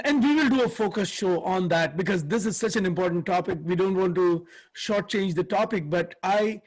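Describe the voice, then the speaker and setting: A man's voice: high voice, a little mellow, rough recording. A man co-hosting a talk show discussion, speaking earnestly with many fillers and restarts. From India. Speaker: co-host.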